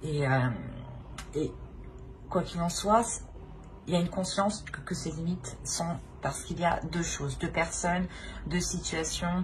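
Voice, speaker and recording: A woman giving an improvised tarot reading, speaking directly to the listener in hesitant, repetitive phrases. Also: sits low. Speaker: tarot reader. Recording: clear background noise.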